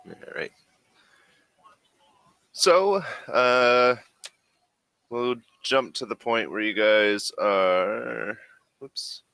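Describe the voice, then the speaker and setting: A man narrating casually as game master of a tabletop role-playing game, in a halting way. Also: mid-range voice, gravelly, pushed and bright, clear, resonant tone, dry recording.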